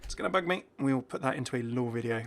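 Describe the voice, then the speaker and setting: Male gaming host, medium pitch, chatty off-the-cuff commentary, thinks out loud as he goes. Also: animated, fast, a little hiss or hum. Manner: chatty, musing aloud, breaking off mid-thought. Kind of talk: casual unscripted commentary on game content.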